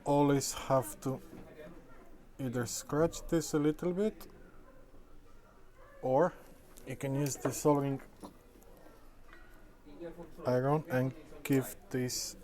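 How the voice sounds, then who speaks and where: A man narrating a casual hands-on repair tutorial, talking in short, halting step-by-step remarks while he works. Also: medium pitch, fairly even intonation, warm tone. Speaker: phone repair technician giving a tutorial. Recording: clearly noisy workshop recording.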